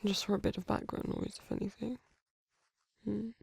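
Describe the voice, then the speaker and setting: A woman playing a tender, flirty vampire in an intimate romantic ASMR roleplay, whispering slowly with doting, teasing words and soft hums. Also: mid-range voice, little pitch movement, full character voice. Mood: soft, gently teasing. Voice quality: breathy, husky whisper.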